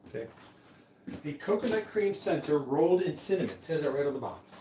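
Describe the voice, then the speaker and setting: A man's voice: fairly high pitch, animated, a little fast, mellow, a little hiss or hum. A man hosting a live stream, chatting in a rambling, casual way about drinks. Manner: rambling, chatty, many restarts. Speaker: livestream host mixing drinks. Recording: echoey room, voice from far off.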